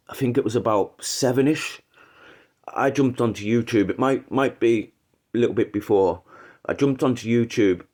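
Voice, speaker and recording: A man with a stuffy, congested voice from a cold, pitched a little low, talking casually on a livestream. He rambles, stops to restart his sentences, and gives his opinions without holding back. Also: darkish tone. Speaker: livestream host.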